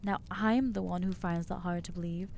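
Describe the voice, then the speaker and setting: Woman playing an anxious, soft-toned fledgling vampire girl. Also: lowish voice, steady tone, vocal fry, a little hiss or hum. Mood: alarmed, suspicious. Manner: hesitant, halting, self-doubting, wryly exasperated. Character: anxious newly turned female vampire, guilty and overwhelmed. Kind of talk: ASMR roleplay acting to the listener.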